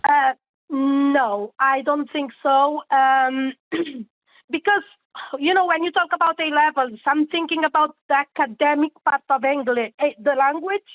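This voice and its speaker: High-voiced woman on phone. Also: a little slow, dry recording. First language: Portuguese. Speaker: caller. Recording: tinny phone line.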